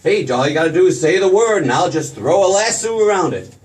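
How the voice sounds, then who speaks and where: An actor playing an eager young small-town dreamer in a radio drama, stammering and excitable, with a folksy manner. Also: male voice, high voice, a little fast. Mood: excited, dreamy. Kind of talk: old-time radio drama stage acting. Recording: noisy stage recording from far off.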